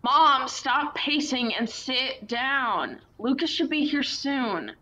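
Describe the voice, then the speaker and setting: A woman playing a peacekeeping grown daughter in a radio play, prompting the others deliberately. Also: sits high, lively intonation. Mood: coaxing. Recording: dull, noisy lo-fi microphone.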